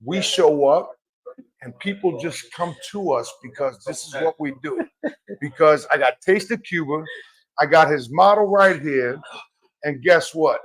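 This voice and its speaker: A man hosting a podcast live from a cigar shop, loud, joking and profane. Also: fairly high pitch, a little slow, poor audio. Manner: boisterous, profane, teasing. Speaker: podcast host.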